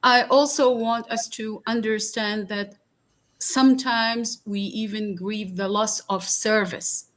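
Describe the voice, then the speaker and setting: A woman from Iraq speaks slowly and earnestly on a podcast. She leaves many pauses and fillers. Her voice sits at an ordinary middle pitch. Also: fairly even intonation, a bit bright. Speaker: guest speaker. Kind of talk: reflective podcast talk.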